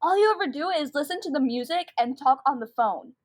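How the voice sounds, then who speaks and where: A woman's voice: sits high, expressive, brisk. A girl of about twelve playing an exasperated, scheming schoolgirl who narrates her own confession in a comedic radio drama. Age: child about twelve.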